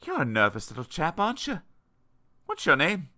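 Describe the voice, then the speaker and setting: A storybook reader voicing a kindly male tramp who speaks in a gentle, reassuring way. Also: medium pitch, huge pitch swings, normal speed, voice pushed up. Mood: gentle reassurance. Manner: gentle, soothing.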